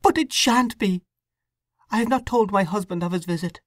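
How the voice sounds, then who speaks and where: A woman's voice: medium pitch, moderate pace, dry recording, clean studio sound, pitched above the performer's own voice, lightly acted. A woman voicing a young, distraught woman torn by conscience, pleading and breathless. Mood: anguished.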